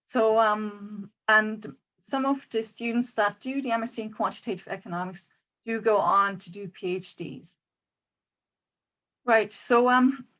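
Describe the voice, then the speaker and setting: Woman presenting in a clear, orderly way. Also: a little high, a bit bright, low-quality recording. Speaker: programme coordinator. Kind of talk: information talk.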